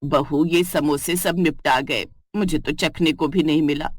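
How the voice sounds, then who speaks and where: A woman voicing a fussy, grumbling older mother-in-law in an animated family story. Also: low voice, measured pace, husky, voice pushed down. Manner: complaining, fussy.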